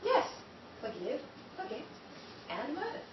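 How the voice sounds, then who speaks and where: An actress playing a haughty, sardonic sister-in-law who speaks with cool superiority in a 1930s-style radio drama. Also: female voice, high-pitched, wildly swooping pitch, measured pace, reverberant, far from the mic, lo-fi sound, very noisy, full character voice.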